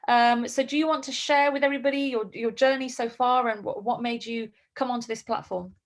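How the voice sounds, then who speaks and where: Brief: upbeat woman host on a video call, high-ish voice, gushing praise. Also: fairly even intonation, a little fast. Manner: upbeat and effusive, repeats words. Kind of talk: hosting an online group call.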